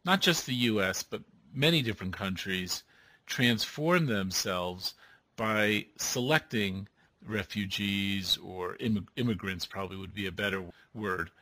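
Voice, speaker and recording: A man hosting an interview, asking measured questions. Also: on the low side, pushed and bright, dry recording. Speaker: interviewer, host.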